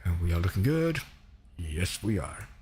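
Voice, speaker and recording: Swedish-accented male streamer, low voice, chatty and rambling, faint hum behind. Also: big pitch swings, moderate pace, warm tone. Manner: chatty, rambling, self-correcting. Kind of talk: casual gameplay commentary.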